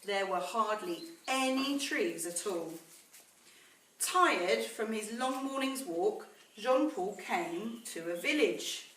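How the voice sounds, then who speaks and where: A woman reading a story aloud to children in a teacherly way, pausing to explain words and ask questions. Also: medium pitch, expressive, fairly bright tone. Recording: roomy echo, distant mic, faint noise.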